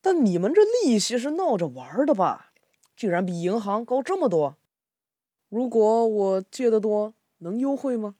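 Radio drama role: anxious, grumbling migrant father, colloquial delivery, mid pitch, dry sound, acted out. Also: sing-song, normal speed, dark tone, dry recording. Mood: doubtful, questioning. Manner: sighing, complaining, colloquial. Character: worried migrant father seeking a loan in the city. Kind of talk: amateur radio drama acting.

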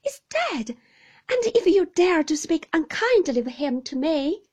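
A woman reading an audiobook, voicing a timid young widowed mother who speaks in a high, trembling, helpless way. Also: big pitch swings, measured pace, darkish tone, exaggerated voice. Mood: wounded.

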